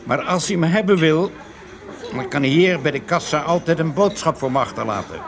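An actor playing a man who makes deliberately casual small talk to pass on covert information in a radio play. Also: on the high side, lively intonation. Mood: casual, guarded. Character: man covertly passing messages through loud café small talk. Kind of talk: radio drama dialogue. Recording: radio play with busy chatter behind. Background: café chatter.

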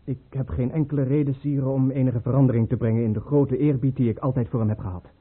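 An actor playing an anxious, dutiful crown prince in a radio play, speaking formally and deferentially. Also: male voice, medium pitch, steady tone, fast, slightly rough, natural voice. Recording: tinny, muffled old radio recording.

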